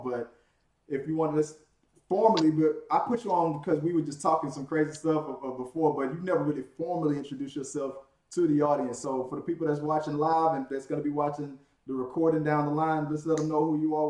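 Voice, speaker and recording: A man's voice: fairly high pitch, fast talker, a little mellow. A man from Houston hosting a casual live-stream chat, loose and joking with many restarts. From Houston, Texas. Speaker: podcast host. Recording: echoey room.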